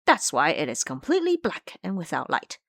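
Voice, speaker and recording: A clever monkey monk acted out by a woman teaches his master in an audio drama. The delivery is emphatic and didactic, leaping up and down in pitch. The sound is dry and close. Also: wildly swooping pitch, fairly quick, a bit bright, no room sound, full character voice. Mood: lecturing. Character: clever, lecturing male monkey disciple monk.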